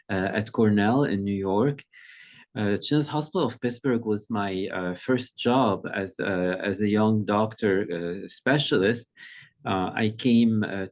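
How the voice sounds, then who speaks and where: Middle-aged man from Lebanon, a pediatric specialist giving an easygoing talk on a video call, lowish voice, measured and thoughtful with frequent pauses. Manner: measured, conversational, pauses often to find words. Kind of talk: informal presentation.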